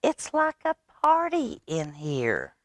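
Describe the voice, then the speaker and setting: A woman's voice: sits low, wildly swooping pitch, slow, no echo, exaggerated voice. A woman voicing a polite but increasingly fed-up young boy in a children's storybook. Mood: hushed annoyance. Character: exasperated young boy complaining in a noisy library.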